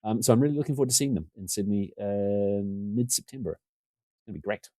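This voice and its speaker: A middle-aged New man talks fast and keeps rambling on, poking fun at himself. His voice sits low and mellow, with a dark, relaxed tone. It sounds dry and close, as on a remote call mic at home. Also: no room sound. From New Zealand. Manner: talkative, rambling, self-deprecating. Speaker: podcast guest. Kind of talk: casual conversation. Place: home room.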